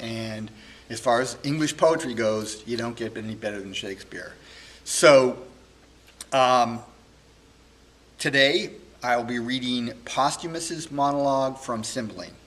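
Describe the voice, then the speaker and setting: A man giving an informal spoken introduction as a presenter, halting with frequent ums and pauses. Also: mid-range voice, fairly bright tone, poor audio. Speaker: librarian presenting a poetry reading.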